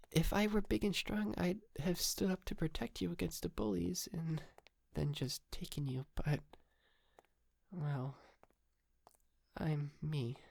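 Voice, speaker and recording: A man's voice: fairly high pitch, vocal fry, a little mellow, husky, no room sound, full character voice. A man playing a shy, polite, clingy yandere kidnapper in an intimate ASMR roleplay, stammering and apologetic, close to the mic. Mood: nervous, awkward. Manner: hesitant, stammering, nervous giggles, apologetic. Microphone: close microphone, dry.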